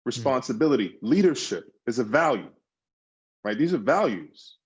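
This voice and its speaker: A man's voice: mid-range voice, big pitch swings, moderate pace, slightly rough, muffled recording. A man talking as a guest on a podcast, answering in emphatic bursts with repeated words and restarts. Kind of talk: conversational interview answers.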